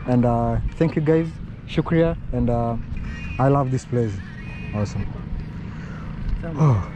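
A man's voice: medium pitch, slow, lo-fi sound. A young man from Kenya, a travel vlogger, chatting with locals on an outdoor football field into an action camera, friendly and repeating his thanks. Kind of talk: casual chat with locals. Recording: clear outdoor noise.

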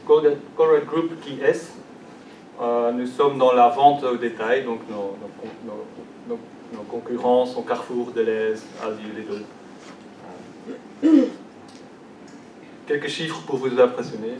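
A man's voice: medium pitch, slow pace, pauses often, a little mellow, a little hiss or hum. Hesitant Belgian-accented man in an echoey hall. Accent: Belgian French. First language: not French. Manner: hesitant, many fillers and restarts. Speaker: conference speaker. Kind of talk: conference presentation. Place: large conference hall. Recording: echoey hall, distant mic.

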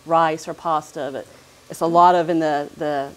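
A woman's voice: deep voice, steady tone, moderate pace. A middle-aged American woman chatting casually as a guest cook in a kitchen. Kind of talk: casual chat. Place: firehouse kitchen, indoors. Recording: faint kitchen noise, sizzling.

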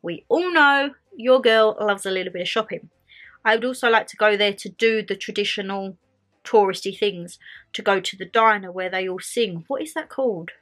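Bright, bubbly young woman. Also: medium pitch, moderate pace. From England. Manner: bubbly and chatty, expressive hand gestures. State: lingering cold. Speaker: vlogger. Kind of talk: casual chat to camera. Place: bedroom, indoors.

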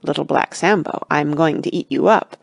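A woman acts out a menacing male tiger from a children's storybook. The voice drops low and gravelly, with a growling, self-important manner. The recording is close and dry. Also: moderate pace, dry recording, heavily acted.

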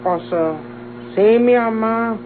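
A woman's voice: a little high, slow. Radionovela role: simple Mexican country girl, folksy, rueful, resigned, vintage tinny sound with hum. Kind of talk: golden-age Mexican radionovela acting. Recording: tinny old radio recording, humming noise.

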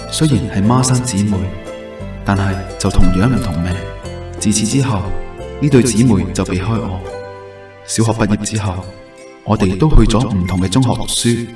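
A man's voice: fairly low pitch, distant mic, close to an everyday voice. An actor playing a wistful young man who recalls a childhood crush, speaking Hong Kong Cantonese in a reflective, earnest way in a radio drama. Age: young adult. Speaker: lead actor and narrator. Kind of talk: radio drama narration and dialogue. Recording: echoey, music bed underneath.

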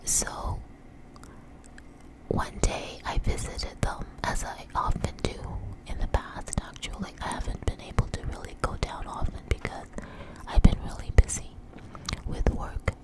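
Slow, whispering ASMR woman. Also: poor audio. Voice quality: breathy unvoiced whisper. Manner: slow, halting, deliberate phrasing. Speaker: ASMR channel host. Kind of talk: ASMR close whisper storytelling. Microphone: close microphone.

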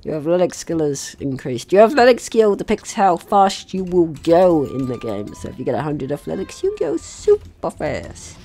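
Male gaming host, very lively intonation, casual and playful running commentary, mild swearing. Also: on the high side, moderate pace, gravelly, hardly any pauses. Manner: playful, swearing, talks to game characters. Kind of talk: casual let's-play commentary.

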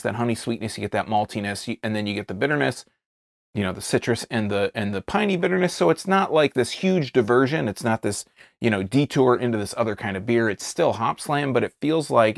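An American man in his thirties giving a casual, unscripted review to camera, halting and rephrasing as he describes things. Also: fairly low pitch, creaky, dry recording, clean studio sound. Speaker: beer reviewer, video host. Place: small indoor studio, seated at a table.

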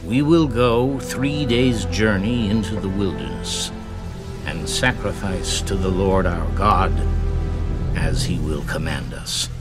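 A grave male prophet in a dramatized scripture reading. His voice is low and slow, measured and earnest, and he stands firm over a clear musical bed.

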